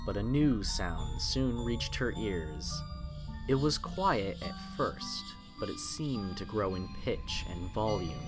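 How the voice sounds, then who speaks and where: Male narrator for a horror tale, deepish voice, dramatic and deliberate, over clear background music. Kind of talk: scripted horror story narration.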